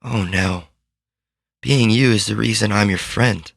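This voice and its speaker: A man voicing a kind, loyal young girl who speaks to her friend in a warm, heartfelt way. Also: fairly low pitch, a little slow, vocal fry, slightly rough, no room sound, studio quality, voice pushed up, exaggerated voice.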